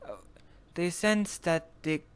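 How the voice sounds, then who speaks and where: A man's voice: fairly high pitch, slow, smooth, no room sound. A man talking hesitantly and pondering as he improvises in character in an online roleplay. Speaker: player in a virtual roleplay. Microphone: VR voice chat microphone. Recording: noisy online voice chat.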